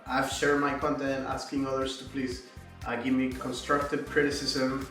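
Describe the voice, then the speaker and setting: Man, mid-pitch, relaxed testimonial speaker, recorded from a distance in a reverberant room. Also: moderate pace. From Miami. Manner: casual, reflective, frequent "right?" and "you know". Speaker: course student giving a testimonial. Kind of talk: testimonial interview. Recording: echoey room, distant mic, faint music.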